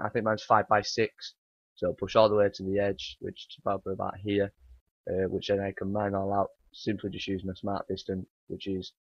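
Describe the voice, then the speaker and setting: A man with a croaky morning voice, rambling through casual gameplay commentary with many ums and uhs. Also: low voice, dry recording. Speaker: gaming channel host. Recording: dry, dull lo-fi home mic.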